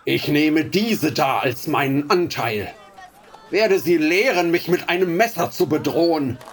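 Sneering cruel ship captain. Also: male voice, on the high side, lively intonation, a little slow, a faint crowd. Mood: menacing. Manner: sneering, menacing, mocking. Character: cruel greedy male ship captain, slave trader. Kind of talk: radio play acting.

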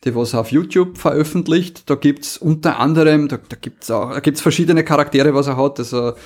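A man's voice: a little high, fairly quick, a little mellow. A man hosting a podcast, chatting casually with amused enthusiasm. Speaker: podcast host. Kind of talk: casual chat, recommending audio plays.

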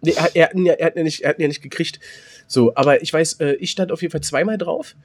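A man with a husky voice, chatting casually and excitably as a podcast co-host, often repeating himself. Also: on the high side, fast talker.

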